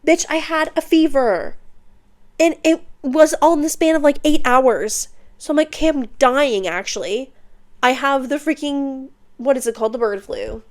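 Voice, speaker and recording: A woman's voice: high voice, moderate pace. A young woman hosting her own podcast, chatty and bubbly, sounding congested while getting over an illness. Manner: bubbly, chatty, uses slang and filler words. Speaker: podcast host. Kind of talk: casual solo podcast chat. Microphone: podcast microphone.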